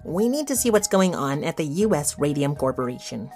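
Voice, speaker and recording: A woman's voice: low voice, big pitch swings, fairly quick, a little mellow, soft background music. A woman voicing an earnest, determined young girl detective in a children's audio drama, with an emphatic, bossy way of talking.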